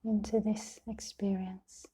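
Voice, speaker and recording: A woman guides a meditation in a very dark, mellow voice, soft and half-whispered. Her delivery is slow and nearly flat in pitch, with gentle, soothing phrases set apart by long pauses. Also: husky. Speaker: meditation teacher.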